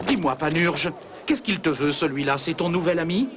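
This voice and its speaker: A man voicing a good-natured giant hero in an animated cartoon. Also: fairly high pitch, moderate pace, close to an everyday voice. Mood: curious, teasing. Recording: loud music over VHS rip.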